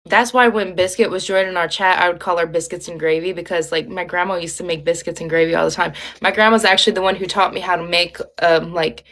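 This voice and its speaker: A woman's voice: on the low side, fairly even intonation, fast talker, few pauses, fairly bright tone. A young woman with a Midwestern Ohio accent, chatting casually and rambling as she hosts a livestream, with lots of ums and likes. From Ohio, USA. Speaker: livestream host.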